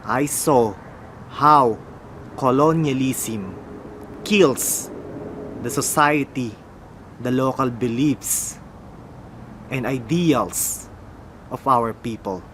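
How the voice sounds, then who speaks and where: A young man from the Philippines with a Filipino accent, talking slowly and mumbling a little as he muses aloud in a casual unboxing vlog. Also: mid-range voice, a bit bright, a little hiss or hum. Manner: slow, mumbling, musing aloud. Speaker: motorcycle vlogger.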